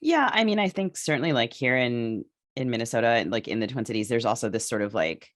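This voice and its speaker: A woman co-hosting a podcast discussion, speaking casually and haltingly as she thinks her points through aloud. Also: rumbling low, expressive. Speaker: podcast co-host.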